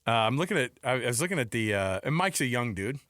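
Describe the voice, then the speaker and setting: Bright-voiced American man hosting sports radio. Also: mid-range voice, sing-song, fast talker, dry recording, clean studio sound. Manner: chatty, joking, colloquial. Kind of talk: casual sports talk radio chat. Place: radio studio.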